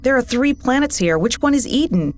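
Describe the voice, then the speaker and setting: A woman's voice: high-pitched, expressive, brisk, a little mellow, faint music behind. A woman playing a no-nonsense young crew officer in a video game, direct and businesslike. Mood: concerned. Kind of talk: video game cutscene acting.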